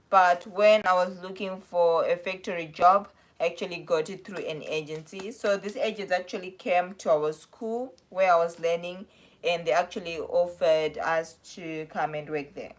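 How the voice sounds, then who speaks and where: A young woman with a South African accent, chatting casually on a livestream and answering viewer questions in a rambling, self-correcting way. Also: on the low side. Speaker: livestream host.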